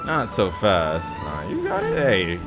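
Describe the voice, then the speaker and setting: Man, game streamer, low voice, swinging intonation, slangy joking commentary, music behind. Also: wildly swooping pitch, slow pace. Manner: irreverent, joking, teasing. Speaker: gaming streamer reacting to a story quest. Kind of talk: casual reaction commentary, slangy.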